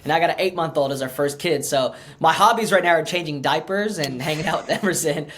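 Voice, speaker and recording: A man's voice: a little high, fast, a bit bright, slight background noise. A man in his thirties, a pastor raised in the US after early childhood in Ukraine, talking casually as a podcast guest with lots of fillers and restarts. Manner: chatty, many fillers and restarts.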